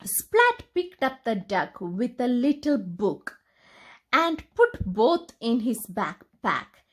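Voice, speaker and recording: A woman reading a picture book aloud to young children in an expressive, deliberate, sing-song storytelling manner. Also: on the high side.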